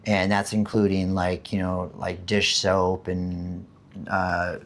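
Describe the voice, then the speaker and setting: A man from the United States with a hoarse voice after being sick, chatting casually and rambling about living costs, with many fillers and restarts. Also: low voice, monotone, slow, a bit bright. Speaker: vlogger. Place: home in the Philippines.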